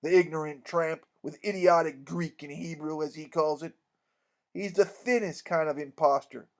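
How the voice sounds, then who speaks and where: A man reading a story aloud plays an iron-jawed country doctor. His voice is high and emphatic, and he speaks bluntly, with stern indignation and a scolding edge. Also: lightly acted.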